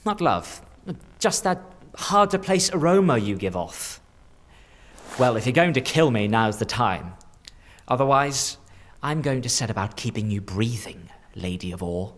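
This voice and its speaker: A man playing a sly, theatrical changeling in a dark fantasy audio drama, teasing and cryptic, lingering over words. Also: medium pitch. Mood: amused, teasing. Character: sly, theatrical shapeshifting changeling in a stolen man's body.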